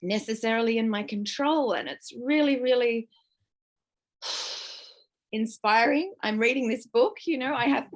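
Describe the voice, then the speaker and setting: Croaky fifty-something woman, kinda high voice, venting hard and cursing. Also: animated, slow pace, pauses often. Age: 50s. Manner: raw, distressed, swearing, venting. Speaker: book club member. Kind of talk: emotional personal sharing.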